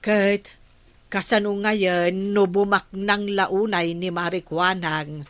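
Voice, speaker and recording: Kindly Ilocano village woman, slow pace, gossipy, drawn-out interjections, radio comedy drama. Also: medium pitch, steady tone, a bit bright. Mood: gossipy, sympathetic. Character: kindly, agreeable village woman who settles her debt.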